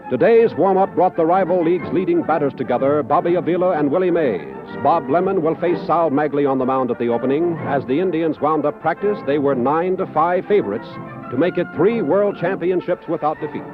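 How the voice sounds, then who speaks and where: A man's voice: a little high, lively intonation, a little fast. An American man reading scripted 1950s newsreel narration as an off-screen narrator. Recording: muffled 1950s broadcast over music. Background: music.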